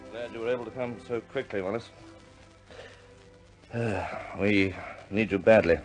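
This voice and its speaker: An actor playing a grave, businesslike senior British air force officer, briefing in a deliberate, measured way in 1950s war film style. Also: male voice, fairly low pitch, faint music behind, lightly acted, rough recording.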